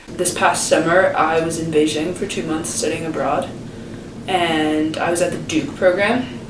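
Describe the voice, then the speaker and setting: A young woman recounts her experience in a measured interview. She sits away from the mic in an echoey room. Steady background noise hangs behind her voice. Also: on the low side, far from the mic. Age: young adult. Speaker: student athlete. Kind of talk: interview recollection.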